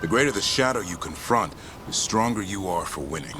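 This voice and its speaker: An actor playing a proud, adventurous elf monster hunter in a video game, talking in short, clipped sentences. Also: male voice, low-pitched, expressive, brisk, over music. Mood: earnest, resolute. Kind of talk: video game dialogue acting.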